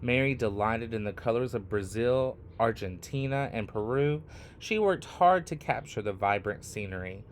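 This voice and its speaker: A man reading a picture book aloud expressively, in short phrases with deliberate, dramatic pauses. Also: medium pitch, animated, slight background noise. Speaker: read-aloud storyteller.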